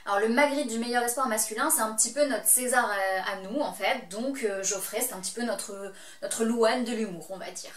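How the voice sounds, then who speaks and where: A Belgian French woman hosts a chatty review vlog, teasing and playful as she talks straight to her viewers. Her voice sits high, and the small room around her adds a clear echo. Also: a little fast, a bit bright. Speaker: vlog host reviewing shows.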